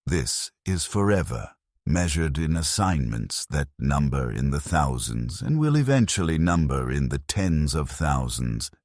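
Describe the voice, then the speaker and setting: Very deep-voiced somber male narrator. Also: sing-song, darkish tone, no echo, studio quality. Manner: somber, measured, bleak. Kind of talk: scripted first-person story narration.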